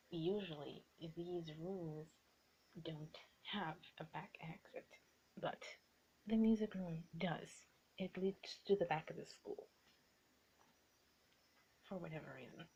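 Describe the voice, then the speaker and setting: A woman's voice: on the low side, dark tone, breathy edge, highs cut off, exaggerated voice. A woman playing a teasing, bossy young art student in an improvised ASMR roleplay, often slipping into a half-whisper. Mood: curt, exasperated.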